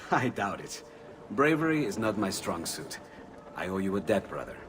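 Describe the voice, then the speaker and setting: An actor playing an excitable, timid inventor with an eager, hesitant manner in a game cutscene. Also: male voice, deep voice, unhurried, over music. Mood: grateful. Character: excitable, warm-hearted, timid male inventor and artist. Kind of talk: dramatic game cutscene acting.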